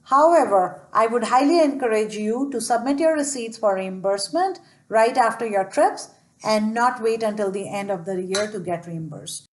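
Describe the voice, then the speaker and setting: A woman's voice: on the high side, lively intonation, normal speed, poor audio. A woman presenter reading a scripted training voice-over in a matter-of-fact, instructional way.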